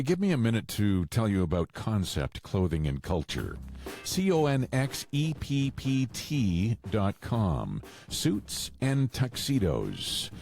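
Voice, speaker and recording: A man's voice: on the low side, a little mellow. A man reading podcast sponsor spots conversationally, with wry, playful asides. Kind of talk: conversational ad read.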